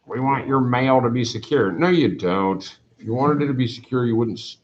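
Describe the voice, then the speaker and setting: Rambling middle-aged teacher in a roomy classroom. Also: male voice, mid-range voice, moderate pace. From Canada. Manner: rambling, self-deprecating, chatty. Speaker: math teacher. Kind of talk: casual classroom chat. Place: classroom with whiteboard. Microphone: camera across the room. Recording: roomy, dull classroom recording.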